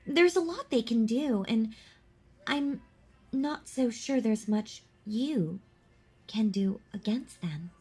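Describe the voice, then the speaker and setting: A woman performs a predatory female vampire in an intimate roleplay drama. Her voice is fairly high and breathy, and she draws her words out very slowly. She is mocking and possessive, talking down to her prey with teasing confidence. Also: animated, a little mellow, exaggerated voice. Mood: condescending, knowing. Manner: mocking, condescending, slow and deliberate.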